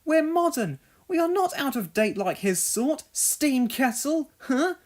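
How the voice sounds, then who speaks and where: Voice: high-pitched, sing-song, unhurried, voice pushed up. A storybook narrator voicing cheeky, mocking railway trucks in a screechy, sneering, mischievous manner.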